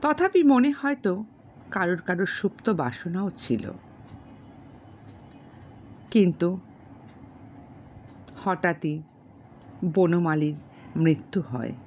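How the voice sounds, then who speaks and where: Lady reading a story aloud, lowish voice, really slow and formal, bit of background noise. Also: lively intonation, a little mellow. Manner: measured, formal, pausing between short phrases. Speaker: storyteller and channel host. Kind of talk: audiobook narration of a classic novel with spoken introduction.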